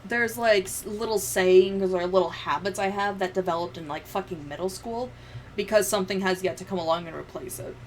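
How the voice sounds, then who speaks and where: A woman's voice: mid-range voice, fairly quick, a little hiss or hum. A woman livestreamer chatting and telling stories in a casual, sweary, giggly way. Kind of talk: casual livestream chat, storytelling.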